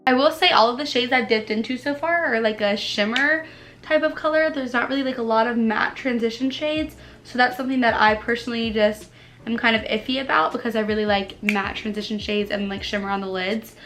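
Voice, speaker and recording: Bubbly young woman, a little hoarse. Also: on the high side, a little fast, a bit bright. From United States. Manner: bubbly, chatty, many "like" fillers. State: slightly hoarse from allergies. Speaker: beauty vlogger. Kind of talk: casual makeup tutorial chat.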